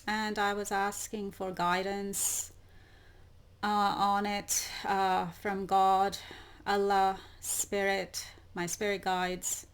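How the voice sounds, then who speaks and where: A woman hosting her own channel, speaking in a slow, deliberate solo monologue full of repeated questions. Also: medium pitch, completely flat delivery, clean tone. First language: not Arabic. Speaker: YouTube channel host. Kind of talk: solo spiritual monologue to camera.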